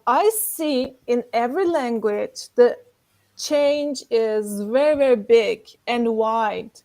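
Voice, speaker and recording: A woman's voice: a little high, darkish tone. A woman whose first language is Turkish, speaking as a panelist with many fillers like "like" and "you know". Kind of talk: casual panel discussion.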